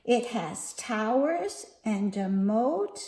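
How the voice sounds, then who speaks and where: A woman teaching young children, reading a picture book aloud at home in a slow, gentle, sing-song way. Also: fairly high pitch, sing-song, echoey room.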